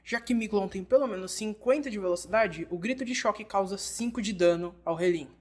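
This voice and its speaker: A woman's voice: mid-range voice, brisk, darkish tone. Brazilian woman narrating a tutorial. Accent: Brazilian Portuguese. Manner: explanatory, addresses the listener directly. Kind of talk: scripted instructional narration, fan dub.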